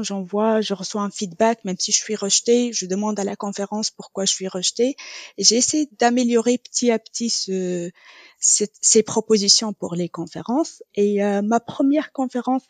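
Non-native french speaker, young woman, lots of ums, dry close sound, mid pitch. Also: moderate pace, no room sound. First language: neither French nor English, trilingual. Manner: hesitant, many filler sounds. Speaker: podcast guest, quality coach. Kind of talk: casual interview talk.

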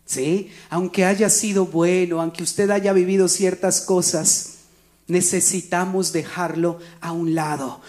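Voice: mid-range voice, darkish tone. A preacher with a Colombian accent gives a rambling, conversational sermon and keeps checking in with the listeners.

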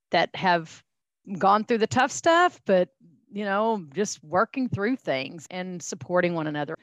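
A woman's voice: on the low side, dry recording, clean studio sound. A middle-aged woman from Texas with a Texan accent, telling her life story as a podcast guest in a chatty, folksy way with many fillers and restarts. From Texas, USA. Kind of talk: casual interview storytelling.

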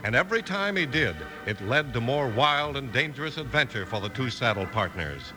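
A man's voice: mid-range voice, big pitch swings, brisk, music in the background. An American man announcing in emphatic, polished 1950s radio style, reading scripted promotions and story narration.

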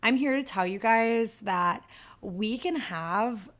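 A woman's voice: fairly high pitch, slow, fairly bright tone. A woman with a Michigan accent hosting a solo podcast, talking in a bubbly, chatty and upbeat way. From Michigan, USA. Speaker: podcast host.

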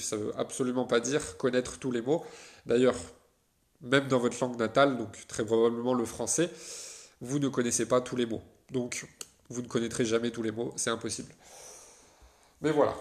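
A man's voice: mid-range voice, darkish tone. A young man from France talking through his solo podcast, loose and chatty, full of hesitations and restarts. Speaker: podcast host.

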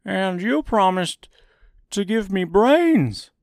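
High-pitched, plaintive scarecrow. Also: male voice, sing-song, takes it slowly, creaky, a little mellow, dry recording, studio quality, exaggerated voice. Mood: eager. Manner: wailing, grieved. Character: Scarecrow, plaintive living straw man.

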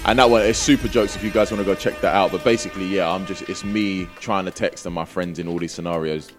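A man's voice: sits low, steady tone, quick pace, over music. A British man chatting casually as an interview guest, with a British accent and a laid-back, slangy manner. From Britain. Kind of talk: casual chat.

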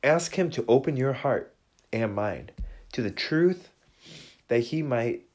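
A man's voice: mid-range voice, expressive, takes it slowly, darkish tone. A man giving a casual, earnest sermon, his voice congested from seasonal allergies. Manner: earnest, conversational, with restarts. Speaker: preacher.

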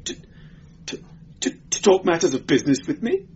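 A man's voice: medium pitch, big pitch swings, takes it slowly, husky, highs cut off, heavily acted. A man voicing a terrified, cringing clerk who stammers and whimpers shrilly in an audiobook story. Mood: panicked. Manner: hysterical, sobbing.

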